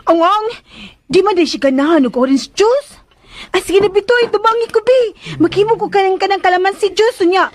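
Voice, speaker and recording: A woman's voice: very high voice, a little fast, no echo. A Filipino woman playing a flustered, eager-to-please young housemaid in a radio drama, plaintive and hesitant. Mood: anxious, obliging. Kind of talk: Philippine radio drama acting.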